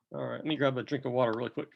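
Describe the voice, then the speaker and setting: A man's voice: mid-range voice, creaky, lo-fi sound. Slightly sick man walking through charts. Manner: unhurried, talks through charts step by step. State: a bit sick with a cough. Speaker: crypto chart analyst. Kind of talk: screen-recorded chart walkthrough.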